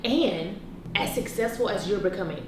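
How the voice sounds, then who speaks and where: A woman's voice: mid-range voice, normal speed, background noise. American woman chatting in an echoey room. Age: 30s. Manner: emphatic, repeats phrases. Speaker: host. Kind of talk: casual chat between friends. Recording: echoey room, music underneath.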